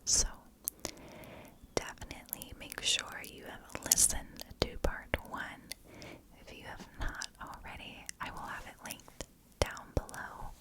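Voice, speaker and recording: Slow, fully whispering woman ASMR storyteller. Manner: hushed, unhurried storytelling in short phrases. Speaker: ASMR true crime storyteller, channel host.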